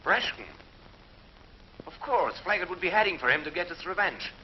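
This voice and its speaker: A man's voice: high-pitched, lively intonation, normal speed, slight background noise. An actor playing a methodical male space scientist who explains his reasoning, in a 1950s TV drama, on a muffled old soundtrack. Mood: thoughtful.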